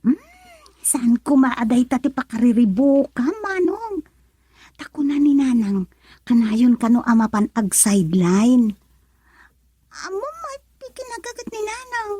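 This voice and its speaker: An actress with an Ilocano accent playing a feisty younger sister in a radio drama, questioning and nagging expressively. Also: female voice, high-pitched, very animated, unhurried, warm tone, slightly rough, dry recording, full character voice. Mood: scolding. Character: feisty, scolding younger sister of a grown brother.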